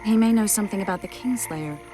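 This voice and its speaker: A woman's voice: medium pitch, fast, warm tone, soft background music, natural voice, rough recording. A woman voicing a poised, dry-witted spellcaster companion in a video game. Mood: wry, curious. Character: cool, wry female spellcaster companion. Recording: watery ambient noise behind the voice.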